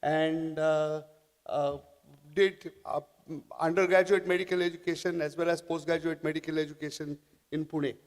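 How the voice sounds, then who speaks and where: A man speaks to a conference audience, his voice pitched a little high. He talks haltingly and often restarts his phrases. His voice carries a long reverb from a large hall. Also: little pitch movement. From India. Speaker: panel speaker. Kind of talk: conference talk.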